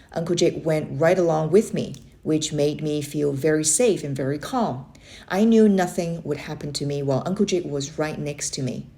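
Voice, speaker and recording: Female storybook reader, slightly low pitch, expressive read-aloud delivery for children. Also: creaky.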